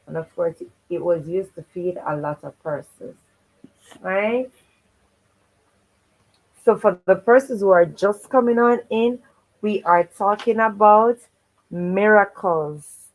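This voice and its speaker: A woman's voice: mid-range voice, takes it slowly, pauses often, rough recording. A woman hosting a children's read-aloud livestream, encouraging and fond of repeating stock welcome phrases. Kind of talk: livestream hosting, greeting viewers and recapping stories.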